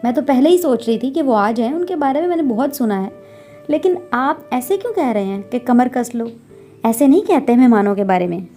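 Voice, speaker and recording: An earnest young daughter-in-law from a family storybook drama, played by a woman. Her voice is high and soft-edged, expressive, set over music. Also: brisk. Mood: earnest, eager. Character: polite, eager young daughter-in-law, growing exasperated.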